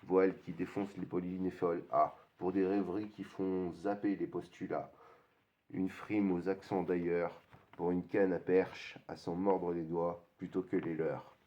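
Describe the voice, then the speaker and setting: A man's voice: low-pitched, level tone, a little slow, warm tone. A man in his thirties performing a rhythmic rap freestyle with a recurring hook, on a dull lo-fi recording. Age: 30s. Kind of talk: rap freestyle over a beat.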